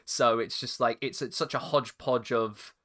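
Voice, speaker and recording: A man's voice: mid-range voice, steady tone, normal speed, fairly bright tone. A man with an English estuary accent, hosting a podcast in casual chat, talking loosely with frequent laughs and restarts. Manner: chatty, laughs often, stumbles and restarts. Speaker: podcast host.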